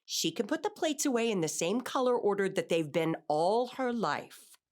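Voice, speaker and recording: A woman's voice: mid-range voice, lively intonation, moderate pace, close to an everyday voice. A woman acting an anxious, controlling middle-aged wife and mother in an audio drama, with an earnest, exacting manner. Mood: exasperated. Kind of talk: audio drama acting, confessional monologue and dialogue.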